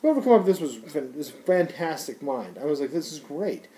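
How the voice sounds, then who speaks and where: Man, slightly high pitch, soft mellow tone, slangy, conversational, rambling product reviewer. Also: very animated, brisk. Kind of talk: casual unscripted product review.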